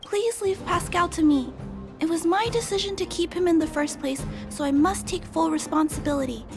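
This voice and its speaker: Very high-pitched earnest young girl. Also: moderate pace, creaky, music in the background. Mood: earnest, concerned. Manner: earnest, polite. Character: gentle, earnest young girl, devoted and resolute. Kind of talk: video game voice acting.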